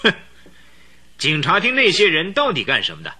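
A sneering aristocrat villain. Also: male voice, a little high, huge pitch swings, normal speed, pushed and bright, husky, exaggerated voice. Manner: sneering, scornful, haughty. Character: arrogant aristocratic male count, gang boss. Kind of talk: radio drama acting.

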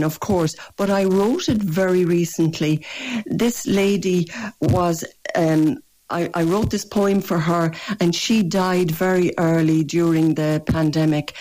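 An older Irish woman, a poet, reading her poems in a measured, gentle way and answering interview questions. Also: lowish voice, rough recording. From rural northwest Ireland. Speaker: poet and guest. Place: radio studio or phone line.

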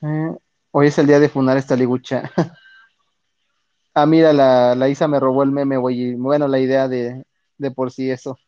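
A man's voice: medium pitch, level tone, normal speed, long pauses, dark tone, no echo. A man with a Mexican accent streaming, chatting casually with viewers in slangy, profane speech. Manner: slangy, profane, reads and answers chat. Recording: dull lo-fi dry sound.

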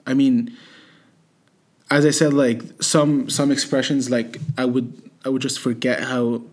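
A man's voice: mid-range voice, level tone, unhurried. Hesitant young man from Egypt. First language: Arabic. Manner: hesitant, many fillers and restarts, laughs softly. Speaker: interviewee, student. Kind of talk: casual conversational interview.

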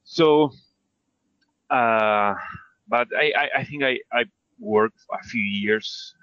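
A man's voice: mid-range voice, slow, fairly bright tone, no room sound, lo-fi sound. A man from Argentina with an Argentine accent, a native Spanish speaker, talking casually as an interview guest, hesitant, self-effacing and often searching for words. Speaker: interview guest, 3D character artist. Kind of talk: casual conversational storytelling.

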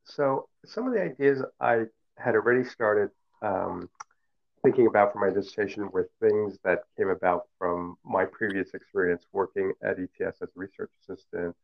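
Low gravelly man on a phone-like line. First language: Cantonese. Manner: measured, reflective, many fillers and restarts. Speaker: professor. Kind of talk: interview answers. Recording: phone-like narrow sound.

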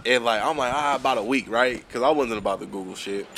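A man's voice: medium pitch, fast talker, a bit bright, a little hiss or hum. A young man co-hosting a podcast, telling a story in a laid-back, profane way and chuckling as he goes. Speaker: podcast co-host.

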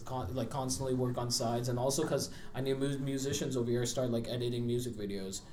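A man's voice: mid-range voice, steady tone, quick pace, a little mellow. A young man from California and India, a college student, chatting casually as a podcast guest and swearing freely. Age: young adult. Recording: roomy echo, clear background noise.

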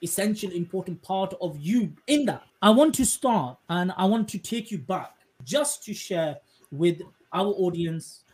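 A man's voice: high voice, expressive, unhurried. A man hosting a podcast interview in an effusive, emphatic and wordy conversational way. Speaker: podcast host.

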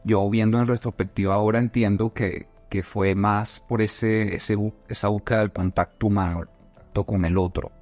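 A man's voice: lowish voice, steady tone, normal speed, a little mellow, faint music behind. A man from Venezuela, a leadership coach, talking reflectively as a podcast guest, with frequent restarts and fillers. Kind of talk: conversational podcast interview.

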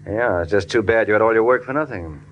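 An actor playing a cool, sardonic hard-boiled American detective in 1940s radio style. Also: male voice, lowish voice, fast talker, highs cut off, lightly acted. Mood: wry. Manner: sardonic, laconic, teasing. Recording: noisy old radio drama recording.

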